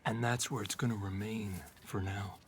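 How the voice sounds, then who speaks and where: An actor playing a wary, matter-of-fact outlaw gang member in a Western video game cutscene. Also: male voice, fairly low pitch, fairly even intonation, moderate pace, a little hiss or hum, lightly acted.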